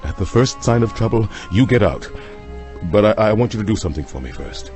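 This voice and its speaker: An actor playing an obsessed, grim vampire hunter doctor in a horror-comedy radio drama, speaking with dramatic urgency. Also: male voice, lowish voice, big pitch swings, fairly quick, a little mellow, faint music behind. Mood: grave, commanding.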